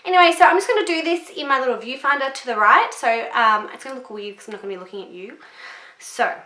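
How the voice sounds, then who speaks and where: Young kiwi woman rambling about makeup, voice a bit on the high side, echoey room at home. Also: fast talker, bright, forceful tone. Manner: chatty, swears casually, rambling asides. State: voice deeper than usual from early morning and dehydration. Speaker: beauty vlogger. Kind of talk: casual chatty product review.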